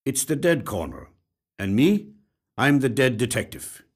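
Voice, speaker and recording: A man voicing a weary, grave police detective with deliberate, heavy pauses in a short story reading. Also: medium pitch, very animated, pitched above the performer's own voice. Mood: deadpan. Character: weary, grave Spanish-speaking male police detective of cold cases.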